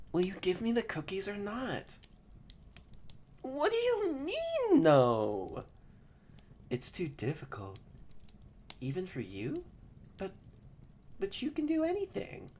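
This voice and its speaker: A woman voicing a haughty young male catboy who is secretly soft-hearted, bratty and bossy but easily flustered, in a scripted roleplay audio drama spoken to the listener. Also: on the low side, slight background noise. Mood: smug, demanding.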